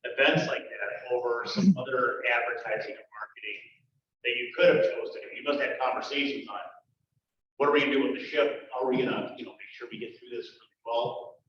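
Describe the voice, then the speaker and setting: A man moderating a real estate panel, asking questions in a relaxed conversational way. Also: mid-range voice, a little fast, lo-fi sound, slight background noise. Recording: very echoey room, distant mic.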